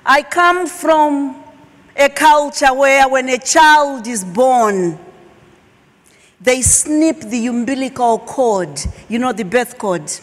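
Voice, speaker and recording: A middle-aged woman from Zimbabwe, a Shona speaker, telling her personal story as a keynote speaker with emphatic pauses. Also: high voice. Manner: slow, emphatic, dramatic pauses. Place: large auditorium stage. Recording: long hall reverb.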